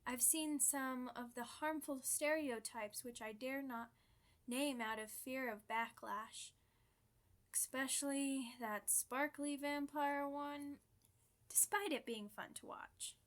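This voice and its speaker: Woman playing a slow-talking, theatrical, chatty vampire. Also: sits high. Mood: chatty, gracious. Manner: theatrical, chatty, mock-grand asides. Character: chatty, theatrical, playful centuries-old vampire named Laszlo. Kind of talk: ASMR roleplay monologue.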